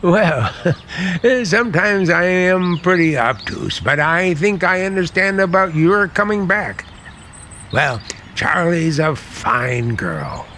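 An actor playing a charming middle-aged American uncle with quiet menace under a genial, deliberate manner, in vintage radio drama style. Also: male voice, sits high, expressive, slight background noise, exaggerated voice. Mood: falsely jovial.

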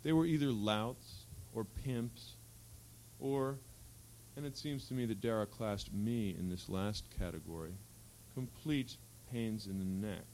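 A man reading literary fiction aloud at a live event, with a measured, articulate storytelling delivery. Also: fairly low pitch, a little mellow, rough recording. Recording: faint hum and background noise.

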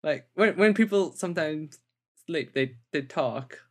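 Female interview guest, casual and talkative, quick bursts of speech. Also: deep voice, expressive. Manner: chatty, quick, light laughs, repeated words.

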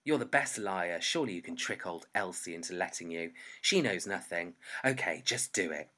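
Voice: low voice, lively intonation, normal speed, sharp tone, pitched below the performer's own voice, full character voice. A reader voicing a cheeky, scheming fifteen-year-old boy in a story. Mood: self-urging.

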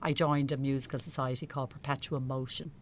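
A woman's voice: extremely low pitch, level tone, fast, a little hiss or hum. A middle-aged Irish woman chatting casually as an interview guest, telling anecdotes in a self-deprecating way. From Ireland. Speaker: interview guest, amateur actor.

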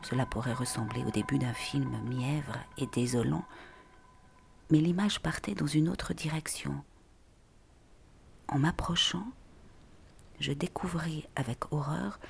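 A person reading scripted literary prose in a breathy, half-whispered voice with measured, deliberate phrasing. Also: mid-range voice, slight background noise.

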